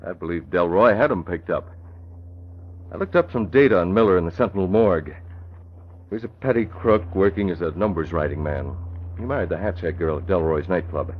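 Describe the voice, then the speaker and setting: An actor playing a cool, resolute masked vigilante in an old radio crime drama. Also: male voice, deep voice, lively intonation, brisk. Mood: grave, determined. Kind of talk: 1940s radio acting. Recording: muffled, tinny old radio recording, faint hiss.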